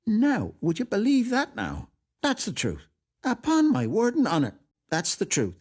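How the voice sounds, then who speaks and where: A man voicing an excitable, tipsy man gushing at a party in a storybook, repeating himself emphatically. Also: high voice, unhurried, voice pushed up, exaggerated voice. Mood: gushing, excited. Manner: repetitive, emphatic, effusive.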